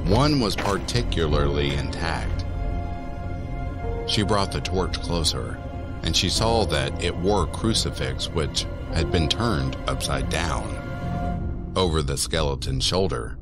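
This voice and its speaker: A man narrating a scripted horror story in a deliberate, measured manner. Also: rumbling low.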